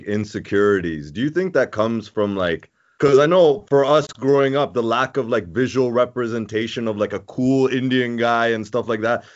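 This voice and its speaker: A man hosting a podcast, asking questions casually in halting, circling phrases. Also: medium pitch. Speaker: podcast host. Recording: dull lo-fi call audio.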